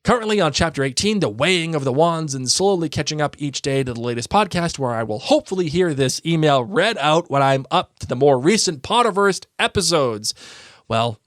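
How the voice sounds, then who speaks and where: A man's voice: on the high side, lively intonation, brisk, no room sound, studio quality. A man with an American accent hosting a podcast in a playful, bantering way, chatting casually and reading listener emails aloud. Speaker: podcast host.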